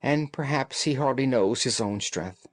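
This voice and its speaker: A man voicing a distraught young gentlewoman in an audiobook, speaking earnestly and formally, with some guardedness. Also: medium pitch, unhurried, dry recording, close to an everyday voice. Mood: resigned, sad. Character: distraught, frightened young gentlewoman confiding her trouble.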